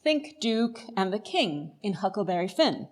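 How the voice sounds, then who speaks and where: Woman lecturer with very lively intonation. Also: mid-range voice, a little slow. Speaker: lecture presenter. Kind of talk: scripted lecture narration.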